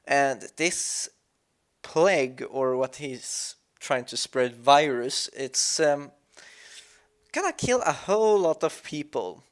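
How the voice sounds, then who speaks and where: Slow, halting man. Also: medium pitch, expressive. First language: Swedish. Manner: halting, searching for words, thoughtful. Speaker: let's play commentator. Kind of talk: reflective gameplay commentary.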